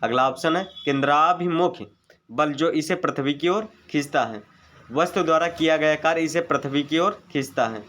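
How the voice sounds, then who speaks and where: A man's voice: a little high, moderate pace, fairly bright tone. A man teaching an exam-prep lesson, methodically reading out multiple-choice questions, their options and the right answers. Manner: methodical, formulaic delivery.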